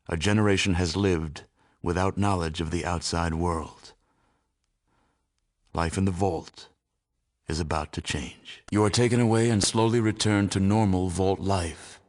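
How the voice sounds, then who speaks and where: An actor playing a solemn, fatalistic narrator of a ruined world in a video game, measured and ominous. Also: male voice, sits low, fairly even intonation. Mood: grave. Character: grave, fatalistic male storyteller of a ruined world.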